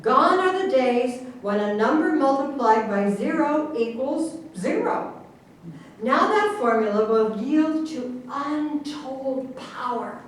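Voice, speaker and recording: Distant echoey recording, woman playing earnest government official, pompous lecturing, deliberate emphasis, staged satire. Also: high-pitched, expressive. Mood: rapt, earnest. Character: government official earnestly explaining absurd secret science. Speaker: actor in a staged reading. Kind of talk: theatrical staged reading of a satirical play. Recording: very echoey room, distant mic, faint noise.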